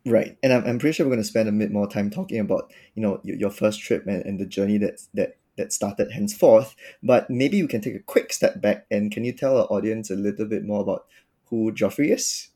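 A man's voice: fairly low pitch, a little fast, mellow, slightly rough. A man hosting a podcast, reading an upbeat intro and then asking friendly questions. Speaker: podcast host.

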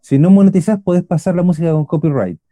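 A middle-aged man with an Argentine accent hosts a casual radio chat. He has a very dark, mellow voice and keeps circling back with fillers and restarts. The recording is dry and close. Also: a little high, fast, no echo. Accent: Argentine Spanish. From Argentina, Buenos Aires. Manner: rambling, many restarts and fillers. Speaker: radio show host.

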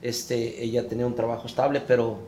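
A middle-aged man tells his story as an interview guest. He speaks slowly and with feeling, pausing and restarting. Faint room ambience hums behind him. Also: mid-range voice, level tone, mellow, slight background noise. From Mexico. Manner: reflective, halting, emotional. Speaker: guest, father.

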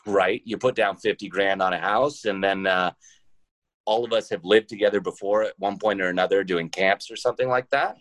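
A man with a deep voice answers interview questions in casual talk. He thinks aloud in an informal way. The sound is dry, with very little room on it. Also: brisk, a bit bright, no echo. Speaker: podcast founder being interviewed.

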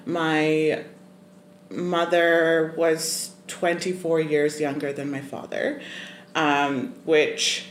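A young adult guest from Zimbabwe, telling a personal story in a thoughtful, measured way with many pauses and ums. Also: medium pitch. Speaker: podcast guest. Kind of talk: casual interview storytelling. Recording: roomy echoey room, faint noise.

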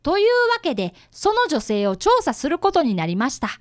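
Woman as an earnest, lively high-pitched schoolboy detective. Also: fairly quick, no echo, studio quality. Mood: serious narrating. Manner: polite, youthful, explanatory. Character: earnest, polite fifth-grade boy apprentice detective. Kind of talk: radio drama acting with first-person narration.

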